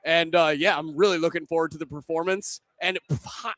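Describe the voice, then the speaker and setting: A man's voice: a little high, fairly even intonation, brisk, fairly bright tone, no room sound. A young man co-hosting a sports pre-game show from a pizza pub, rambling with self-deprecating banter. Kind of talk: casual sports talk.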